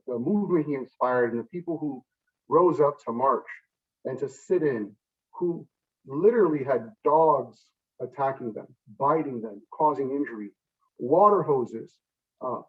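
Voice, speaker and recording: Male politician, mid-range pitch, earnest public oratory, deliberate pauses, emphatic phrases, slightly muffled distant recording. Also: animated, slightly rough. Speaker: state senator giving a speech. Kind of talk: public commemorative address.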